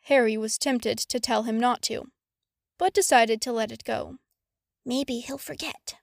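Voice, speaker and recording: A woman's voice: fairly high pitch, fairly even intonation, moderate pace, vocal fry, dry recording, clean studio sound. A woman reading a story aloud as scripted audiobook narration. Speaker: fan fiction audiobook narrator.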